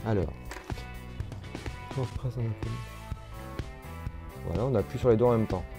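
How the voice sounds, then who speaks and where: A man's voice: on the low side, moderate pace, gravelly. Casual man over background music. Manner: hesitant, informal, repeats himself. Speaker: video host. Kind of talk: casual unscripted product demo chat.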